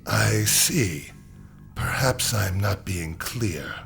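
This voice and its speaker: Gravelly, menacing shadowy agency chief. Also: male voice, on the low side, expressive, music in the background, heavily acted. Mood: cold menace. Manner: measured, ominous, condescending. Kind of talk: video game cutscene acting.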